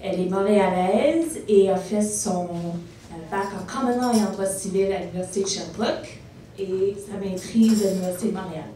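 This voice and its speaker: A woman moderator introduces the next speakers briskly, with fillers and a quick self-correction. Her mid-range voice comes from a distance in a big, echoing hall. Steady noise runs underneath. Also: mid-range voice. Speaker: conference moderator. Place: large banquet hall. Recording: echoey hall, distant mic, background noise.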